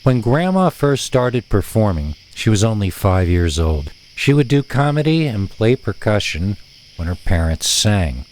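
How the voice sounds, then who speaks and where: A man's voice: lowish voice, sing-song, unhurried, darkish tone, a little hiss or hum. A man narrating a scripted tale as a storyteller.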